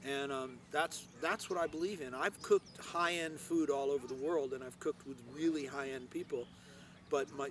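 Higher-voiced middle-aged American man, casual chef. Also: a little hiss or hum. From Hawaii, United States. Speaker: chef and restaurant owner. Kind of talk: casual cooking presentation to camera. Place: outdoors, open yard and covered grill deck. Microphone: lapel microphone.

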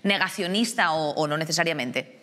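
Bright-voiced woman hosting a studio panel. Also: low voice, expressive, normal speed, long reverb tail. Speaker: host. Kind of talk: moderating a panel. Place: television studio.

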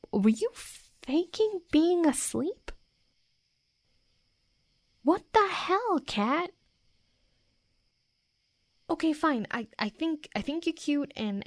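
A woman voicing a tough young female werewolf in an intimate roleplay audio drama, gruffly defensive and stammering, secretly smitten. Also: on the high side, no room sound. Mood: indignant, embarrassed.

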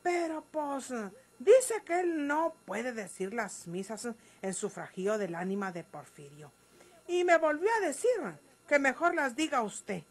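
A woman's voice: sits high, animated, a little slow, breathy edge, heavily acted. An actress playing a stubborn, folksy woman with a rural Mexican accent in a radio drama. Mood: earnest, evasive.

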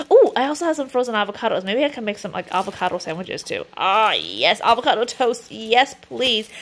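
A woman in her thirties from Canada talks through her grocery finds at the kitchen counter, upbeat and chatty with frequent fillers. Her voice sits a little high, and the camera is close to her as she speaks. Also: fairly bright tone. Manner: chatty and upbeat, lots of "um" and "I mean". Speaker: vlogger showing a grocery haul. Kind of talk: casual chat. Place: home kitchen, indoors.

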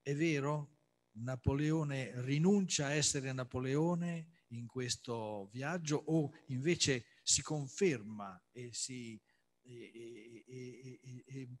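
Hesitant man moderating, medium pitch. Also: slow pace. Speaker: event host, moderator. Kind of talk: unscripted moderating at a cultural talk. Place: salon with piano, indoors.

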